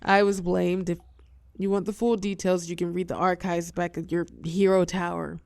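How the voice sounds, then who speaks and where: A fallen heroine turned telepath villain, acted by a woman, speaks close and dry in a lowish, crackling voice. She is weary and wry, tender with an edge of menace, pausing for effect as in an intimate audio drama. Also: flat delivery, creaky, a little mellow, no echo. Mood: reluctant, resigned. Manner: soothing pet names, wry bitterness, dramatic pauses, drops into whispers. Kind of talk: intimate scripted audio roleplay monologue.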